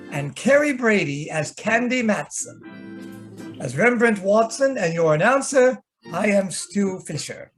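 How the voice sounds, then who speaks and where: A man announcing in old-time radio style, as if reading out the credits of a program. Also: sits high.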